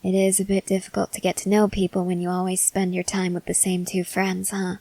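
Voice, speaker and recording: A woman playing a stoic, confident young woman soldier in an ASMR roleplay, speaking in a measured, serious, guarded way with hesitant pauses. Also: fairly low pitch, completely flat delivery, gravelly, warm tone, dry recording. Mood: cool, confident. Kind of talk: ASMR roleplay, acted scene to a listener.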